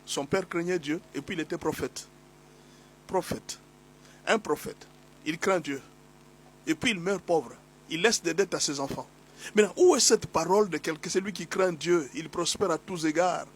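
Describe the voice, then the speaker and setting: A man with a cold and a slightly hoarse voice, preaching a sermon as a pastor, emphatic and repetitive as he exhorts his listeners. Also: a little high, normal speed, rough recording. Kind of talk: sermon teaching.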